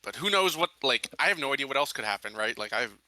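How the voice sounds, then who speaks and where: A man takes part in a tabletop roleplaying game as a player. His mid-pitched voice is very bright and sharp, and he talks casually as he explains his character. The recording is dry and close. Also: expressive, fast talker, no room sound, poor audio. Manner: informal, filler-heavy explaining. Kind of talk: casual improvised roleplay talk.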